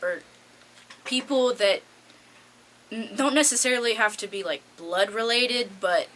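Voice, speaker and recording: A woman's voice: a little high, a little slow, fairly bright tone. A teenage female theater student giving reflective, casual answers in an interview. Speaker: high school theater student. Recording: faint background noise.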